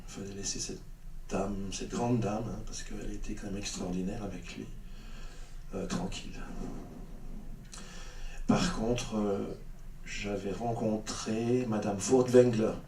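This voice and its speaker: A man's voice: fairly low pitch, fairly even intonation, slow, long pauses, dark tone. A middle-aged man, a film director, answering audience questions at a screening with many fillers and restarts. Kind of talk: spontaneous Q&A answers. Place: cinema hall. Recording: echoey cinema hall, distant mic, faint noise.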